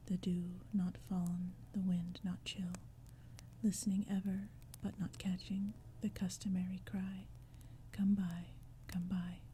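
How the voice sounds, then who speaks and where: A woman reading poetry in a soft, breathy ASMR whisper, with a gentle, hushed storytelling manner. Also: mid-range voice. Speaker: audiobook reader. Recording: faint ambient crackling fire and forest sounds. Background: crackling fire, forest ambience.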